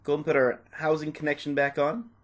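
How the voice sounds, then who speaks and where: A man narrating a step-by-step tutorial off the cuff, in a casual, instructional way with softened phrasing. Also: fairly high pitch, completely flat delivery, brisk. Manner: casual, instructional, hedging with "kinda" and "a little bit". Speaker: repair tutorial presenter.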